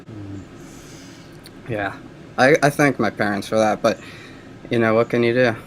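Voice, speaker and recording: Hesitant man with a soft, feminine voice. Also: on the low side, a little slow, a little hiss or hum, poor audio. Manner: hesitant, rambling, lots of fillers. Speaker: caller. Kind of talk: casual phone call.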